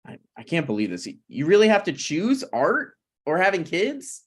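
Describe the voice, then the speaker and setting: A man's voice: a little high, huge pitch swings, moderate pace, husky, full character voice. A man reading the part of a flippant, needling, self-deprecating screenwriter in a conversational comedy table read. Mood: exasperated.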